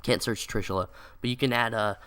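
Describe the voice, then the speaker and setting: A man's voice: medium pitch, steady tone, brisk, fairly bright tone, smooth. A young man with a stuffy nose from a cold, giving rambling casual commentary with lots of "like" and "um". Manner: rambling, many "like" and "um" fillers. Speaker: card game video creator.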